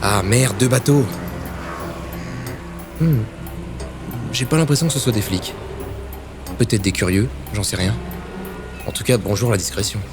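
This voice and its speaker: An actor playing a weary, sardonic secret agent in an audio drama, sarcastic and given to muttering. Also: male voice, lowish voice, unhurried, long pauses, music in the background, lightly acted. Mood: wary.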